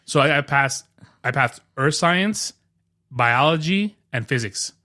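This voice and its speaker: A man's voice: medium pitch, slow, dry recording, clean studio sound. A man in his thirties whose first language is Spanish, co-hosting a podcast and telling stories in a joking, casual way. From New York. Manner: storytelling, joking, animated retelling. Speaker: podcast co-host. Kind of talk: casual chat.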